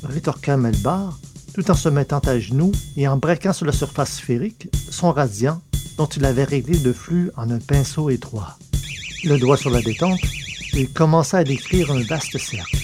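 A man reading scripted science fiction narration in a measured, literary way. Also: medium pitch, expressive. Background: music.

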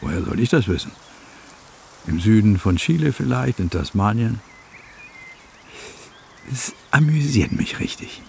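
An actor playing a brooding bereaved brother in a radio drama, musing in a halting, dry and wryly unsettled way. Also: male voice, fairly low pitch, sing-song, creaky, warm tone, a little hiss or hum, heavily acted. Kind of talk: naturalistic radio play acting.

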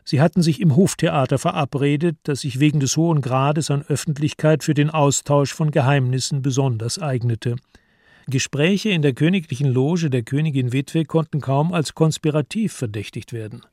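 Higher-pitched male narrator, dry studio sound. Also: a little mellow, no room sound. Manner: measured, sober storytelling. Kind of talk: scripted literary narration for radio drama.

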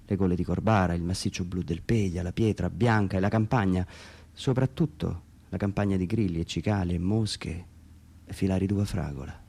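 A man's voice: low voice, brisk, creaky, a little mellow, slightly rough. A man from Umbria, Italy, giving a dramatic literary reading of his own text for radio theatre, brooding and theatrical, sometimes dropping to near whispers.

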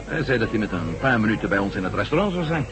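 An actor playing an alert male ex-astronaut turned reporter, speaking in a lively, wary way in an old radio play. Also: lowish voice, quick pace, over music, a crowd in the background. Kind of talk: 1970s radio drama acting.

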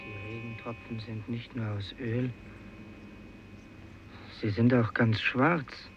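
A man's voice: medium pitch, fairly even intonation, takes it slowly. Grave fisherman on dull old radio. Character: exhausted, stranded fisherman, grave and mournful. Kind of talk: 1950s German radio play, lyrical declamation. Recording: dull, slightly noisy vintage radio recording.

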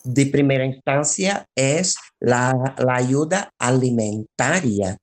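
An interview guest explaining a collective's work in halting phrases with repetitions. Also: medium pitch, expressive. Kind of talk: spoken radio interview, explaining.

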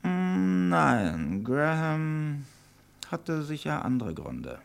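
Gravelly, shrewd police inspector. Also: male voice, on the high side, very animated, very slow, heavily acted. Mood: confident, musing. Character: shrewd, self-assured male police inspector. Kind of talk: vintage German radio crime drama acting.

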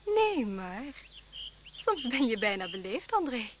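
High, lilting, coquettish young noblewoman. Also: very animated, takes it slowly. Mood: haughty, coy. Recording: dull, noisy old radio-play recording.

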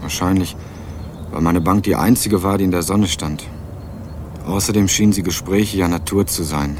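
A man's voice: deep voice, moderate pace, noisy background. A young man narrating a crime radio drama in first person, dry and ironic. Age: young adult. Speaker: narrator and main character.

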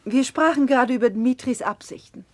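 Husky, genteel, reproachful sister. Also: female voice, sits high, a little fast. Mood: firm. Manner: genteel, admonishing. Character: concerned, reproachful married sister of a nobleman, genteel. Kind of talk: 1960s German radio drama acting. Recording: 1960s radio drama recording.

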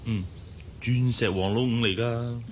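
An actor playing a smitten, insecure boss who talks hesitantly and earnestly in a Hong Kong Cantonese radio drama. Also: male voice, mid-range voice, fairly even intonation, unhurried, a little hiss or hum, close to an everyday voice. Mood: matter-of-fact. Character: smitten, insecure boss courting his young secretary.

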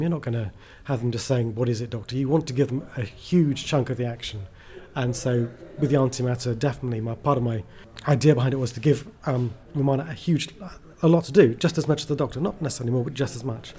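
A man's voice: mid-range voice, fast talker, hardly any pauses, dark tone. A man chatting casually as an interview guest, a writer who is enthusiastic, rambling and self-deprecating.

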